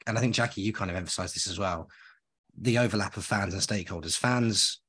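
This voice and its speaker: A man's voice: fairly low pitch, fast, fairly bright tone, husky. A man co-hosting a podcast in casual conversation, enthusiastic and rambling, speaking straight to his listeners. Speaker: podcast co-host.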